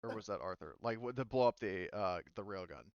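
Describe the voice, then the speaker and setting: A man chatting casually as a game master, explaining things in a halting, conversational way. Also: lowish voice, expressive, creaky, clean tone, no echo, muffled recording. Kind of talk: casual chat, running a tabletop game session.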